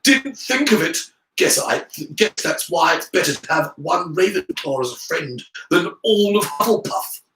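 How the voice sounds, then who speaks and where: A man's voice: high-pitched, big pitch swings, moderate pace, bright, forceful tone, heavily acted. A man voicing a haughty, sly schoolboy in a story, with a drawling, sneering manner. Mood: mocking. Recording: roomy, echoing room sound.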